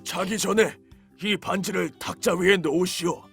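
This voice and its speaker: An actor playing a big, gentle male beast who speaks formally and courteously in a children's storybook. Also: high-pitched, steady tone, moderate pace, vocal fry, soft background music. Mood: solemn.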